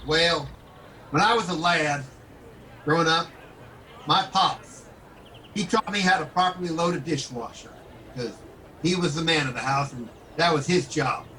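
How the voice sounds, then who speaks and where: A man playing an earnest, folksy, nostalgic street interviewee in an improv comedy sketch, telling his tale deliberately from a distant mic. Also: a little high, bright, forceful tone. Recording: distant mic, faint background noise.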